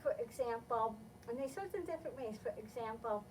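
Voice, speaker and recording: A woman's voice: a little high, animated, fairly quick, far from the mic, a little hiss or hum, poor audio. A woman hosting a library storytime, reading aloud and explaining things simply for young children. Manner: simple, instructive phrasing aimed at small children.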